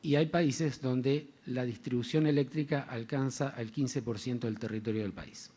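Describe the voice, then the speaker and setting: Mellow-voiced man with hesitant delivery. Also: medium pitch, fairly even intonation, normal speed, slightly rough. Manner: hesitant, many fillers. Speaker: panelist. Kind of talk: formal panel talk.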